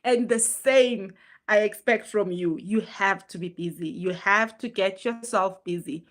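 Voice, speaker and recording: Hoarse, earnest woman hosting a live chat. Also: mid-range voice, unhurried. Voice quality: normally very loud voice, pushing it now. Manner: chatty, earnest, greets viewers by name. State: hoarse, strained from illness. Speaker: live stream host. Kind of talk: casual motivational live chat.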